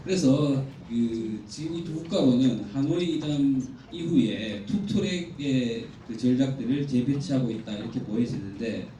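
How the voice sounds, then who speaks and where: A man delivers a formal academic presentation in a very echoey hall, heard at some distance from the microphone. His voice is mellow and middling in pitch. He explains in a measured, orderly way, with faint noise behind him. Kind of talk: conference presentation from slides. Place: indoor conference hall.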